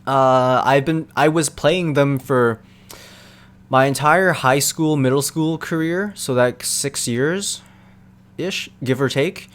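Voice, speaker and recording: A young man answering viewers' questions in a relaxed, rambling live-stream chat, full of fillers and quick laughs. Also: mid-range voice.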